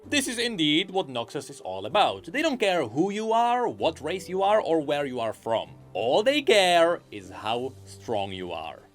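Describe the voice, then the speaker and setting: A man's voice: fairly high pitch, huge pitch swings, a little slow, few pauses. A man narrating a scripted commentary video about game character lore, eager and explanatory.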